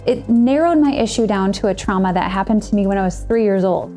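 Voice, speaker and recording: Mellow-voiced woman sharing a testimonial. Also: medium pitch, fairly quick, soft background music. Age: 30s. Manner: earnest, personal storytelling. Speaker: client and certified coach. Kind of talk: scripted testimonial.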